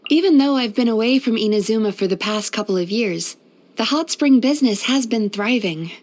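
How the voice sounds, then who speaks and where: A woman playing a gentle, composed yokai therapist in a video game, soft-spoken and measured. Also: a little high, animated, close to an everyday voice. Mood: composed, earnest. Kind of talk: video game character voice lines.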